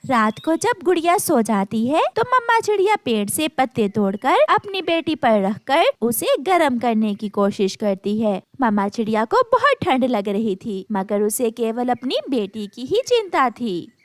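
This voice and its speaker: High-pitched, animated woman storyteller. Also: unhurried, few pauses, no echo. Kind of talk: scripted children's story narration.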